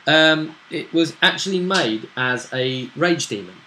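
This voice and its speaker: A man's voice: a little high, expressive, a little slow, sharp tone, a little hiss or hum, rough recording. A man casually recapping a tabletop role-play session as game master, rambling as he explains it.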